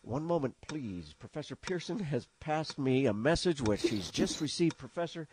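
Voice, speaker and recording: A man playing an excitable, courteous 1930s radio news reporter with formal announcer diction that gets flustered. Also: on the high side, moderate pace, a little hiss or hum, close to an everyday voice. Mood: formal, composed. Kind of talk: 1930s radio drama acting.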